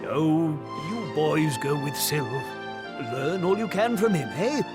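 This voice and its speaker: A man's voice: on the high side, animated, takes it slowly. A male actor playing a fond, earnest, fatherly boss in a video game, speaking plainly. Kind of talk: video game cutscene voice acting. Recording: loud music over the voice. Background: game music.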